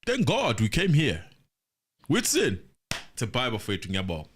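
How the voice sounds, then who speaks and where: A man's voice: mid-range voice, huge pitch swings, moderate pace, a little hiss or hum. A South African man hosting a casual live stream on a headset, jovial and apologetic, slipping into Zulu phrases. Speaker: host.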